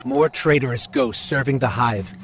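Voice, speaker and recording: An actor playing a loyal, protective and suspicious robot companion in a video game, with an earnest, pointed delivery. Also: male voice, medium pitch, normal speed, very noisy, natural voice. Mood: resolute, righteous. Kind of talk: video game cutscene acting. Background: loud background music.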